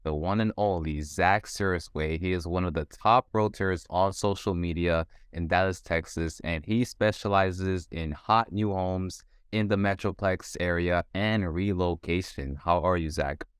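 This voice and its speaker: Low-voiced casual podcast host. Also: male voice, fairly even intonation, measured pace, hardly any pauses, clean tone, no room sound, clean studio sound. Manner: easygoing, filler-heavy questions. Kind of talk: casual interview.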